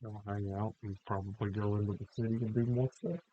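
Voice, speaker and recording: A man's voice: fairly low pitch, steady tone, brisk. A man giving casual answers as a participant in a read-aloud discussion, with tinny, narrow-band sound.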